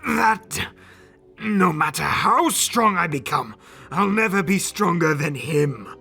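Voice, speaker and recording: A proud alien warrior prince in a dramatic audio drama, defiant and bitter. The voice is pitched fairly high, tense and sharp, with arrogant, declamatory delivery that swings widely. Faint music sits underneath. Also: male voice, expressive, slow pace, vocal fry, breathy edge, heavily acted. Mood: anguished.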